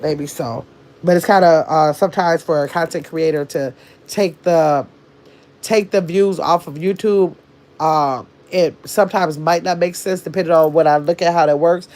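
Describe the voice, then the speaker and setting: A woman's voice: low voice, steady tone, moderate pace, a little mellow. A woman, hosting a casual livestream and chatting, teasing and reading comments. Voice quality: nasal. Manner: chatty, teasing, scolds trolls. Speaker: livestream host.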